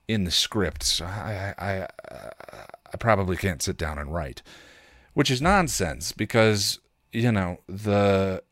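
Male podcast host, lowish voice with lively swings in pitch, halting and self-deprecating, close dry sound. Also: no echo. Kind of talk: casual personal podcast monologue.

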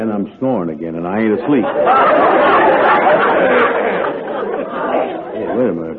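An actor playing a slow, gullible, easily flustered man in an old-time radio comedy, with a folksy, ungrammatical drawl. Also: fairly low pitch, phone-quality sound, lightly acted. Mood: puzzled, drowsy. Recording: crackly vintage radio with studio audience.

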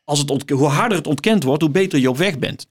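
A middle-aged man explaining books in conversation as an interview guest, thoughtfully and haltingly, repeating words as he searches for phrasing. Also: a little high, expressive, breathy edge. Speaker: guest, professor.

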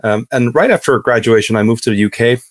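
A man in his thirties from Hungary, a podcast guest telling his story casually and haltingly, often restarting sentences. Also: mid-range voice, no echo, studio quality.

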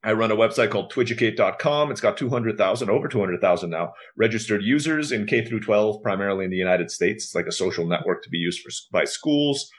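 A man's voice: fairly low pitch, animated, fast, few pauses. Fluent, casual male podcast guest. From grew up in Istanbul, Turkey. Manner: fluent, informal, self-deprecating asides. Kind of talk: casual interview talk, explanatory.

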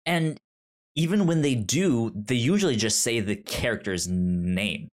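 A man co-hosting a casual podcast, talking haltingly and repeating words as he thinks out loud. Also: medium pitch, lively intonation. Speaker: podcast host. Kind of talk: casual chat about a manga.

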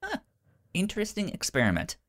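A man co-hosting a casual film chat, speaking haltingly and often repeating words mid-sentence. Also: sits high, huge pitch swings, a bit bright. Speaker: podcast co-host.

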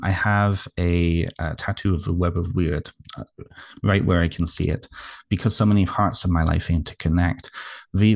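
The low, creaky British man you would hear as a podcast guest in a dry, close room, thoughtfully weighing each reflective answer. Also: a little mellow, dry recording. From United Kingdom, moved to Canada young. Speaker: podcast guest, author and therapist. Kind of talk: reflective interview conversation.

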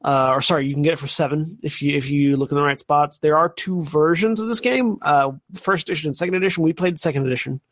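A man with a fairly high voice hosts a relaxed review discussion. He talks in a halting, repetitive way. The sound is close and dry, with very little room in it. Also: no room sound. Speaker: podcast host. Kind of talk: casual board game review chat.

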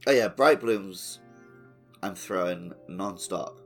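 Low-voiced man in casual podcast chat. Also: takes it slowly, fairly bright tone, soft background music. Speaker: podcast co-host. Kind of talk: casual chat, video game playthrough commentary.

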